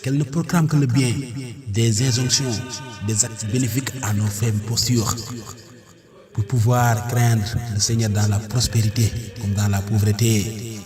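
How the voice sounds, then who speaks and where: A man reciting devotional poetry as a solemn, pleading prayer, declaiming it in measured short phrases. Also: on the low side, fairly even intonation, music in the background. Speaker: poet reciting prayer poems.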